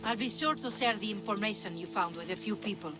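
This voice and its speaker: Actress playing a low-voiced young Greek noblewoman. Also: fast talker, close to an everyday voice. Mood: warm, resigned. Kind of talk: video game cutscene dialogue. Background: music.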